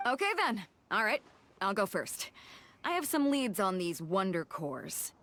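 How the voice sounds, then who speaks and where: A woman's voice: medium pitch, big pitch swings, a little slow, a bit bright. A woman playing a bold, hearty sea captain in a video game, talking casually and sociably. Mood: confident.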